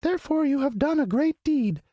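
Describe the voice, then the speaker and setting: A man voicing a tiny, proud female queen of field mice with a squeaky voice in a storybook reading. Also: expressive, brisk, mellow, no echo, muffled recording. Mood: indignant. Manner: indignant, haughty.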